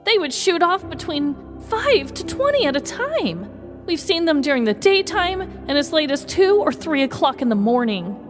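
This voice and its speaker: Concerned neighborhood woman, as a podcaster quotes her: earnest, high pitch, very animated delivery. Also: moderate pace, soft background music. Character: concerned female neighborhood resident quoted about nightly blasts.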